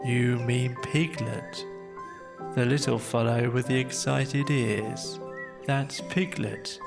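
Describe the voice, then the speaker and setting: A storybook narrator voicing a gloomy, self-pitying donkey in a slow, mournful, resigned way. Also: mid-range voice, steady tone, vocal fry, music in the background.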